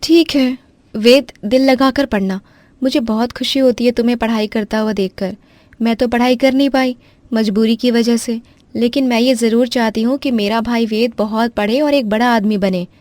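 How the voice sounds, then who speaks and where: Caring young older sister, warm and encouraging, voiced by a woman; dry close sound. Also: a little high, steady tone, normal speed, dry recording, pitched above the performer's own voice, natural voice. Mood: affectionate. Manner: affectionate, encouraging. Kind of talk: cartoon story voice acting.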